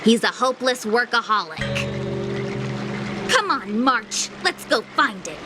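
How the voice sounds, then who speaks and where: A woman's voice: on the high side, big pitch swings, a little slow, over loud music. A woman voicing a shrewd, composed female diviner who lectures bluntly in an anime-style game. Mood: dry, critical.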